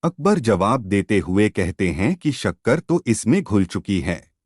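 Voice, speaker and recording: A man narrating a scripted moral story for a cartoon video in a measured, expressive storytelling manner. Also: lowish voice, breathy edge, no echo, clean studio sound.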